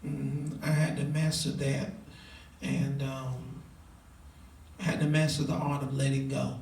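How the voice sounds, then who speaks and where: A man in his twenties, a preacher, answering interview questions hesitantly with many fillers and soft laughs. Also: mid-range voice, flat delivery, unhurried, gravelly, slight background noise. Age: 20s. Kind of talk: casual Q&A interview. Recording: echoey room, far from mic.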